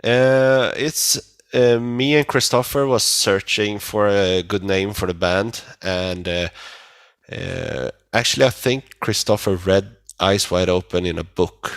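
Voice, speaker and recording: Deep-voiced Swedish man, unhurried. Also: fairly even intonation, creaky, dry recording. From Sweden. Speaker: metal band vocalist, interview guest. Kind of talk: casual interview chat.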